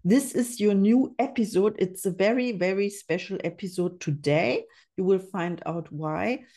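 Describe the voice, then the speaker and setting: A woman's voice: mid-range voice, unhurried, warm tone. A woman in her forties, a German native speaking English, hosting an interview podcast in an earnest way, often repeating words as she thinks. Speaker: podcast host. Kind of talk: casual interview.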